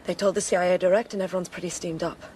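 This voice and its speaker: A woman's voice: lowish voice, steady tone, very fast, a little mellow, a little hiss or hum, close to an everyday voice. An actress playing a cool, independent Cold War intelligence woman in a radio drama, composed, direct and probing. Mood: probing, serious. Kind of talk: radio spy drama acting.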